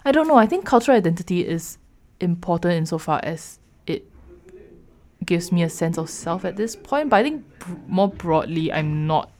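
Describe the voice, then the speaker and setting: A woman's voice: on the low side, very animated, moderate pace, a little mellow. A young woman from Singapore in casual conversation, thoughtful and hesitant, with many fillers.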